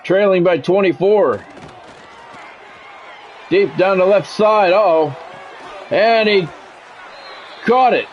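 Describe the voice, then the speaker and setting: A man's voice: high-pitched, slow pace, long pauses, lo-fi sound, a faint crowd, slight background noise. A man doing football play-by-play for a video game stream in a conversational, blunt way. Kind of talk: football play-by-play commentary with casual reactions.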